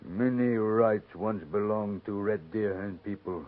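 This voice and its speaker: Man acting a slow-spoken, guarded chief in an old radio western. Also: on the low side, lightly acted. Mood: guarded, resentful. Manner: clipped broken English, slow and deliberate. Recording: muffled 1950s radio recording.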